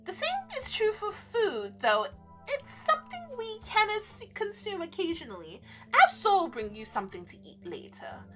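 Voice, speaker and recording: A woman's voice: very high-pitched, huge pitch swings, normal speed, music in the background, voice pushed up, full character voice, rough recording. A woman voicing a serene male winter spirit from a visual novel, awkward, polite and self-deprecating. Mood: calm, polite. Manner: polite, apologetic, reserved. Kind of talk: visual novel character read aloud.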